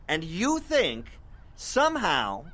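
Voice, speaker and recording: An actor playing a cocky, sardonic internet radio host in an audio drama, with teasing, theatrical patter. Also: male voice, a little high, wildly swooping pitch, slow, pushed and bright, full character voice. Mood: sardonic, probing.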